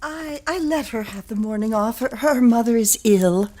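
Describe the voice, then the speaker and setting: A woman's voice: a little high, normal speed, warm tone, natural voice. Faltering, forgetful mother played by an actress. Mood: confused. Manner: faltering, hesitant, absent-minded. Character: vague, forgetful, fragile mother. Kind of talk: period radio drama acting.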